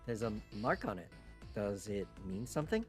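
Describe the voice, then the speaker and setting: Man playing a hesitant, puzzled young guy, lively intonation. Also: on the low side, normal speed, soft background music. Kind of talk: visual novel character read aloud.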